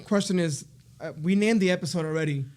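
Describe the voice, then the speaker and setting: A man from Argentina co-hosting a casual podcast, joking and energetic as he leads the questions. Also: high voice, quick pace. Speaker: podcast co-host. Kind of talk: casual chat. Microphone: studio podcast mic.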